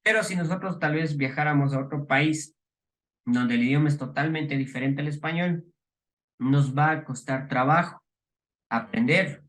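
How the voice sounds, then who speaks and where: Ecuadorian highland man teaching, higher voice. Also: moderate pace, a bit bright, low-quality recording. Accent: Ecuadorian highland Spanish. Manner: explanatory, many fillers and restarts, asks rhetorical questions. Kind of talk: recorded class lecture.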